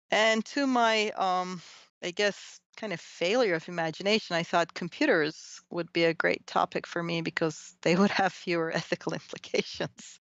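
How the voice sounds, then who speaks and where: A middle-aged woman from Turkey telling her story conversationally as an interview guest, in an animated, tumbling way with self-interruptions and amused asides. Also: low voice, vocal fry, no echo, highs cut off. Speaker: interview guest, technology and society researcher.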